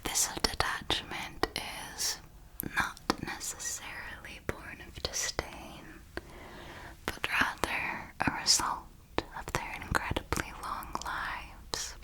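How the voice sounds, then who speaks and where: A woman plays an elegant female vampire mentor in an ASMR roleplay. She whispers throughout in a breathy hush, speaking very slowly and deliberately. Her manner is refined, patient and knowing, like a teacher guiding a pupil. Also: poor audio. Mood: hushed, instructive. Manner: slow, deliberate, refined, instructive.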